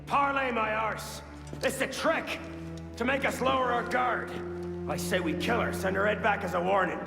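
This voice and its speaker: A gravelly, hot-tempered assassin. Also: male voice, high-pitched, moderate pace. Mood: furious, suspicious. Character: hostile male assassin elder, suspicious, hot-tempered, vengeful. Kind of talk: dramatic video game cutscene acting. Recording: echoey hall, loud music.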